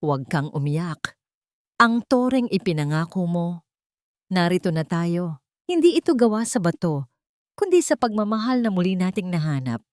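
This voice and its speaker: A woman voicing a frail, loving, dying mother in a story, soft and consoling. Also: on the low side, sing-song, moderate pace, pauses often, no room sound, studio quality. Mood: tender. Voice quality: soft, weak.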